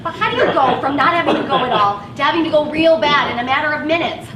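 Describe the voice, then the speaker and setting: Woman playing a high-voiced, harried van driver. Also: lively intonation, quick pace, a loud crowd. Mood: exasperated, harried. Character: stressed, patient, kindly woman van driver. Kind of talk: live one-act stage play acting. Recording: echoey stage from far off, noisy audience.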